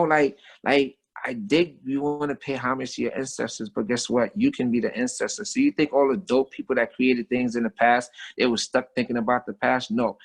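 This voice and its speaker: A man with an American accent talking casually as a guest, telling stories and philosophizing in emphatic, slangy, repetitive phrases. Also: medium pitch. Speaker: guest, street artist. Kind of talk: casual conversational talk and storytelling.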